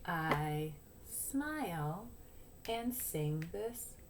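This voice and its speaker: Slow-talking woman teaching young children. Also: fairly low pitch, animated, noisy background. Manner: simple step-by-step explaining, sounding out phrases deliberately. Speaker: early primary school teacher. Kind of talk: instructional read-aloud lesson for young children.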